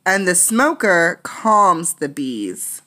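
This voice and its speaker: A woman's voice: lowish voice, sing-song, takes it slowly, pushed and bright. A woman teacher reading a children's nonfiction book aloud, adding explanatory asides for young listeners.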